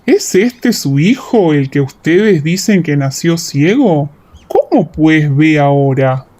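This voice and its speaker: A woman voicing a stern, accusing religious elder who challenges people deliberately in a dramatized audiobook. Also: low voice, expressive, dark tone, pitched below the performer's own voice, full character voice. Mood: interrogating. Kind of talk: audiobook radio-theatre dialogue.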